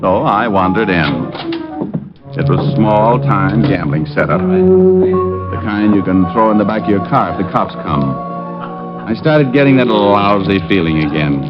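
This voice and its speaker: An American actor playing a cocky, wisecracking private detective in a 1950s radio drama, wry and hard-boiled. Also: male voice, lowish voice, very animated, unhurried. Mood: hard-boiled narration. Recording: muffled old radio recording, loud music behind.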